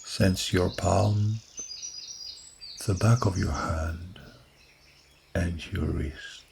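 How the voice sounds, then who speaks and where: A man guiding a yoga nidra body scan in a soft, calm voice with long pauses. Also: low-pitched, a little hiss or hum. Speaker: meditation guide. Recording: birdsong clearly behind the voice. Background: recorded birdsong from woods.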